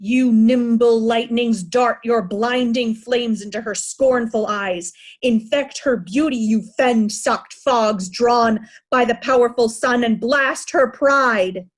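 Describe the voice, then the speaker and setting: Woman acting proud old shakespearean king, slow and declamatory, high-ish voice, wounded and raging. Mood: cursing fury. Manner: declamatory, deliberate, anguished. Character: proud, aging king raging at ungrateful daughters. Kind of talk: Shakespearean reader's theatre acting.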